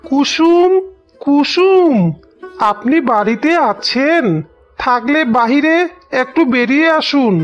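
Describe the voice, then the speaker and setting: A woman voicing an earnest young gentleman suitor in a cartoon fairy tale, formal, polite and insistent. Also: sits high, lo-fi sound. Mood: polite, calling.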